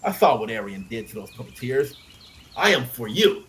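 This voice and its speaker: A man's voice: mid-range voice, sing-song, normal speed, a bit bright. A man voicing a pompous young knight in an audiobook, boastful and grandly confident. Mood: mocking. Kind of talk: audiobook character acting.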